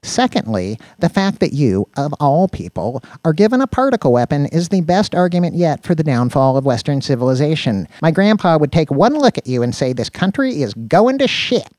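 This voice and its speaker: A man's voice: a little high, sing-song, normal speed, few pauses, darkish tone, no echo, voice pushed up. A man voicing a lanky, nerdy male tech deputy in an audiobook, with a precise, anxious, sardonic manner. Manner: sarcastic, precise, exasperated.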